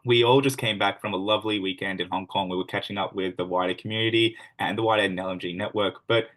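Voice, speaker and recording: Brief: fast-talking man with a lowish voice, hosting a business presentation over video, upbeat and a little rambling. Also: steady tone, a bit bright, low-quality recording. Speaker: host.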